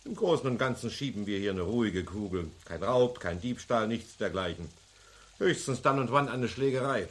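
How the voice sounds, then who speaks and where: Commanding veteran police chief. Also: male voice, on the low side, animated, fairly bright tone. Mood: businesslike. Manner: measured, authoritative. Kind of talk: German radio crime drama acting.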